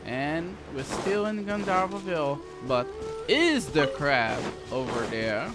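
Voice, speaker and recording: Female gaming host, low voice, unhurried, encouraging commentary over prominent game music. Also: very animated. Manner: encouraging, talking back to game characters. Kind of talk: live gameplay commentary, reacting to dialogue. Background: video game music.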